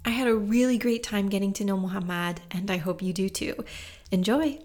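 A woman's voice: medium pitch, fairly quick, heavy background noise. A woman hosting a podcast, talking in a casual, chatty and reassuring way. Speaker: podcast host interviewing.

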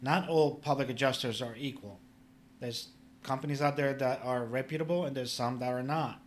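A man's voice: medium pitch, fairly even intonation, moderate pace, slight background noise. Man explaining plainly. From Poland. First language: Polish. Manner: plain-spoken and instructive, with filler "you know". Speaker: public adjuster, guest. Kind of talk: casual podcast interview.

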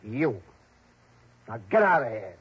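An actor playing a hot-headed, easily rattled prizefighter in a 1960s radio drama, with rough, blustering streetwise talk. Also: male voice, medium pitch, sing-song, slow pace. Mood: scornful, defiant. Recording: dull old radio recording, faint noise.

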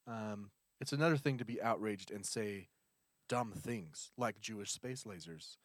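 A man's voice: lowish voice, measured pace, dry recording, studio quality. A man co-hosting a casual podcast chat, laid-back, laughing often and trailing off mid-sentence. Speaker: podcast co-host.

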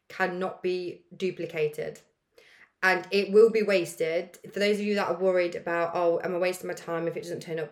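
A young woman hosting her own podcast, with a croaky, deep voice, giving earnest, chatty pep talks and laughing often. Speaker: podcast host. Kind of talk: casual motivational solo podcast.

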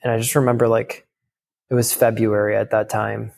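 A young man telling a candid personal story in casual talk, halting, with many fillers and restarts. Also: on the low side, flat delivery, gravelly, a little mellow. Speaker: guest.

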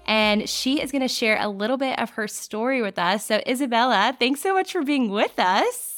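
A woman hosts a podcast in a bubbly, enthusiastic way, with a mid-range voice. Music plays clearly underneath her. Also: fairly high pitch, expressive, normal speed, a bit bright. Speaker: podcast host. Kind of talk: upbeat podcast host introduction.

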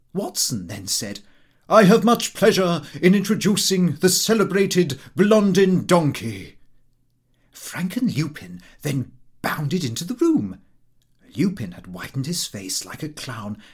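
Man, audiobook narration, comic diary, prim and fussy, very varied intonation, husky edge. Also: mid-range voice. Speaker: audiobook reader. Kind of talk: scripted narration of a comic first-person diary.

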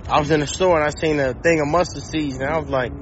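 A man's voice: a little high, steady tone, quick pace. A man hosting an on-location interview, casual and slangy, encouraging his guests with talk of faith. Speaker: host. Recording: dull outdoor recording with faint traffic.

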